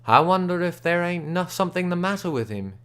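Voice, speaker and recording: A man's voice: sits high, animated, a little fast, fairly bright tone, clean tone, voice pushed up. Smiley, a man doing a drawling frontier gambler. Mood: easy, bragging. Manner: folksy drawl, backwoods grammar.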